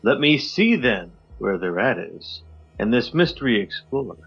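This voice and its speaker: A man co-hosting a podcast, giving a theatrical poetry reading that swings between loud highs and hushed drops. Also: very animated, a little slow, creaky, slightly rough. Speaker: podcast co-host. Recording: slightly muffled with faint music.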